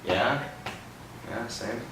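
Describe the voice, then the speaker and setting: A young man reading a picture book aloud to a child, pausing often to ask gentle questions about the words. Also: mid-range voice. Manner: expressive storybook reading broken by gentle teaching questions. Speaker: read-aloud host. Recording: roomy, distant mic with background noise.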